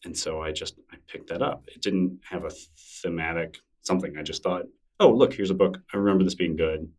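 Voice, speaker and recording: A man's voice: sits low, brisk, husky, distant mic. A middle-aged man being interviewed as a podcast guest, talking casually and self-deprecatingly with many restarts. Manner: relaxed, rambling, self-deprecating with frequent restarts.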